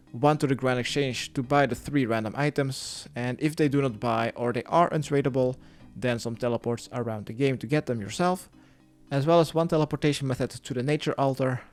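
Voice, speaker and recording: A man's voice: mid-range voice, darkish tone. A man narrating a step-by-step video game guide, giving plainly worded instructions as a tutorial voice-over. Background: music.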